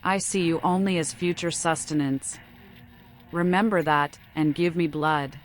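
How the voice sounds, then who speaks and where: A woman with a fairly low voice performs scripted video game lines. Her delivery is a dramatic reading, as the protagonist of the game. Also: fairly even intonation, unhurried, soft background music. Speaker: gamer voicing the protagonist and reading game text.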